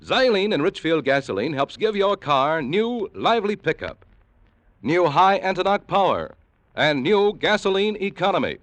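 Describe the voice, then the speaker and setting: A man's voice: high voice, sing-song, normal speed, a bit bright, dry recording. An American man announcing a 1950s radio commercial with polished, emphatic salesmanship. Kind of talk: 1950s radio advertising pitch. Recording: dull, dry vintage radio recording.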